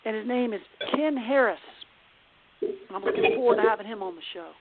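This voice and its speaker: A woman's voice: fairly high pitch, lively intonation, a little fast, heavy background noise. A woman hosting a call-in radio show in a casual, chatty style over a phone line. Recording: narrow tinny phone-line sound.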